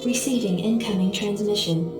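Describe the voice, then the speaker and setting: An actress voicing a cool, efficient military computer that gives briefings in a clipped, formal, impassive manner in a video game. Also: female voice, medium pitch, moderate pace, lightly acted. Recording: echoing, distant, loud music behind.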